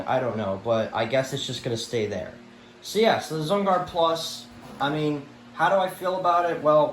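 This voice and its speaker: Echoey, rambling man in casual show-and-tell. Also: mid-range voice. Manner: rambling, mildly exasperated, repeats himself. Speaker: vlogger showing a weather radio collection. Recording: echoey room with faint background noise.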